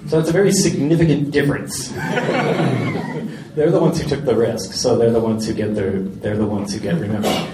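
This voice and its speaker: A man answering audience questions at a live event, jokey and animated. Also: medium pitch, normal speed. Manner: jokey, animated, laughs often. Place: large indoor event hall. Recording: echoey hall, distant mic, background noise.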